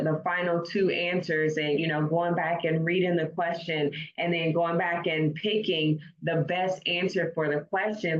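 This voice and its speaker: A woman coach hosting an interview, talking in an encouraging, affirming way. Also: deep voice. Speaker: coach and host. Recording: echoey, dull lo-fi call audio.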